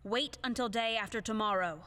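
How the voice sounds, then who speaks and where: Actress as indignant proper lady, formal, reproving, fairly high voice, theatrical audio play, long reverb. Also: a little fast, sharp tone. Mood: pleading, annoyed. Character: proper, indignant young widowed lady of refinement. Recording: echoey hall-like reverb.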